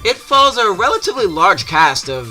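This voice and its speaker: Guy reviewing games, pretty high voice, super animated and sarcastic, music going behind him. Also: brisk. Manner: sarcastic, exaggerated delivery. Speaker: video game reviewer. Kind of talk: comedic scripted review narration.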